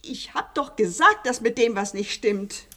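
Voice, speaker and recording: A woman's voice: fairly high pitch, lively intonation, unhurried, fairly bright tone. An actress playing a middle-aged, fearful, nagging mother and landlady in a radio play, alarmed and insistent, often repeating herself. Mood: panicked, disgusted. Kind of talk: German radio play acting.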